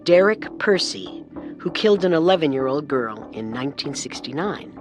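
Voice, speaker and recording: A woman co-hosting a scripted true crime podcast with dramatic, deliberate delivery. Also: low-pitched, lively intonation, over music. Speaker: podcast co-host and narrator.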